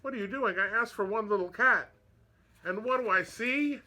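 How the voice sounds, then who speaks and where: An exasperated, practical old woman from a storybook , played by a man. The voice is raised high and bright, exclaiming and scolding as she goes. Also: normal speed. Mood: dismayed scolding.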